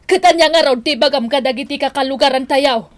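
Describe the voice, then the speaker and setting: A woman's voice: high voice, no room sound, lightly acted. An actress playing a nagging mother of a grown son in a radio drama, scolding with an Ilocano accent. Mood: reproachful. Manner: scolding, drawn-out exclamations. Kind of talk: Filipino radio drama acting.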